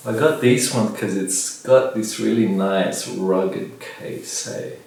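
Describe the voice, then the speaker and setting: A man's voice: fairly low pitch, slow pace, gravelly, darkish tone. A middle-aged man giving a casual unboxing commentary in a vlogger's style. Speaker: vlogger reviewing gear. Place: indoor room with bare walls, at a table. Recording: very echoey room, distant mic, faint noise.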